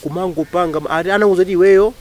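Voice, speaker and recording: A man's voice: sits high, steady tone, rapid-fire. A man with a Malawian accent chatting casually as an interviewer, often chiming in with short affirmations.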